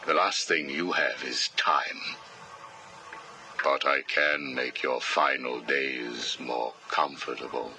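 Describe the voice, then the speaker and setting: Casting: sinister game psychiatrist. Extremely deep, slow, precise, cold, with a hard forceful edge. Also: male voice, fairly even intonation, slight background noise, full character voice. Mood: cold authority. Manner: measured, clinical, menacing, precise. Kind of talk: video game voice acting.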